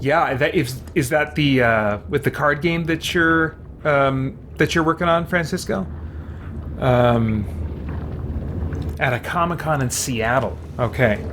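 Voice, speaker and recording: A man's voice: medium pitch, slow, a bit bright, a little hiss or hum. A man chatting casually as he streams a game, reading on-screen text aloud and muttering mild exclamations to himself. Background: game music.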